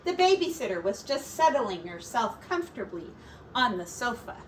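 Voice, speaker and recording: Woman, storybook narration, expressive, highish lively voice, dramatic emphasis, faint background noise. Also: moderate pace. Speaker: teacher reading a storybook aloud. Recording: distant mic with faint room noise.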